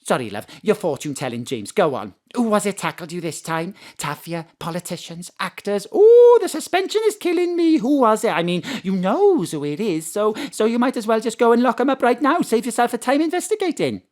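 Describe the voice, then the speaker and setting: A woman's voice: mid-range voice, very animated, brisk, hardly any pauses, close to an everyday voice. An actress voices a gossipy landlady in a live audio drama, fond and teasing, her voice bouncing up and down as she nags. She calls everyone love and fusses like a proud mother. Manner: gossipy, teasing, warm endearments. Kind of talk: live radio drama acting. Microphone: home studio mic.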